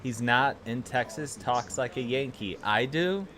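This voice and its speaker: A man's voice: mid-range voice, lively intonation, unhurried, a bit bright. A young man with a Chicago accent, hosting a casual livestream from a small room at home and telling rambling, profane stories to his chat. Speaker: livestream host.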